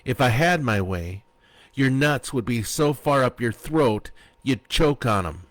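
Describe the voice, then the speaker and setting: A man's voice: mid-range voice, expressive, unhurried, a bit bright, dry recording, pitched above the performer's own voice. A man voicing a furious foul-mouthed wife. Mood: seething. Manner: harsh, cursing, threatening.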